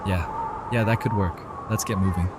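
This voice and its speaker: An actor playing a calm, responsible male survivor leader with a measured manner in an audio drama. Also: sits low, background noise, lightly acted. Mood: resolute. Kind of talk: fan audio drama acting.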